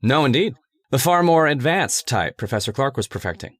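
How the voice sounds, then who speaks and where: Male actor as persuasive scientist's assistant, formal and deliberate, mid-pitched, dry studio sound, vintage radio horror. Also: lively intonation, a bit bright, slightly rough, no echo. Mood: measured, ominous. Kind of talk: old-time radio horror acting.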